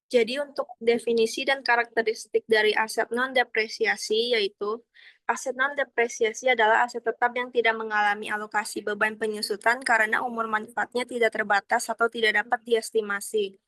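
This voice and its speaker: Young woman presenting, higher-pitched voice. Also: level tone, fairly quick, fairly bright tone, no echo. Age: young adult. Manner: formal, explanatory. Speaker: student presenter. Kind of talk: slide presentation of accounting material, partly read out.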